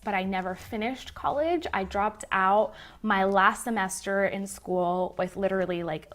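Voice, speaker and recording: A woman in her twenties from Puerto Rico with a Puerto Rican accent, a native Spanish speaker, answering viewer questions to camera in a chatty way from her living room. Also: medium pitch. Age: 20s. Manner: chatty, gestures a lot. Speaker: business vlogger. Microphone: webcam at desk.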